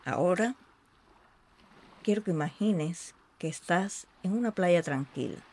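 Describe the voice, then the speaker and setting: A meditation guide speaking in a soothing, unhurried way with long pauses between short phrases. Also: medium pitch, expressive, a little mellow. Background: ambient sea sounds.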